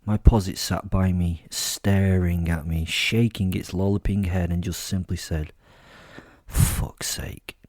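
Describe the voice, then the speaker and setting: Casting: male narrator for horror drama, low and dark-toned, sardonic, very dry close sound. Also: fairly even intonation, unhurried, hardly any pauses, no echo. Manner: sardonic, wry, brooding. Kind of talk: first-person dramatic storytelling in character.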